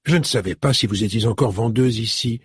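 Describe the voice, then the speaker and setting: A man's voice: mid-range voice, husky, no echo, studio quality. A man voicing a polite, composed middle-aged woman in an audiobook, in a slightly raised voice. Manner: courteous, measured.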